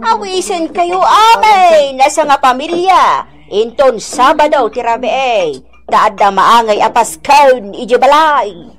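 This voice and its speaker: A woman's voice: high-pitched, animated, slow pace, fairly bright tone, full character voice. A woman with a Filipino accent playing a boastful, bossy middle-aged mother in a theatrical, emphatic radio drama style. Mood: boastful, inviting.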